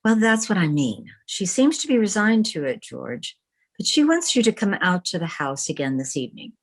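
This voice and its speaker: Lively, composed woman publisher, played by an actress. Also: fairly low pitch, normal speed. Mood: breezy, composed. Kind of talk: old-time radio comedy acting. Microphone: video call.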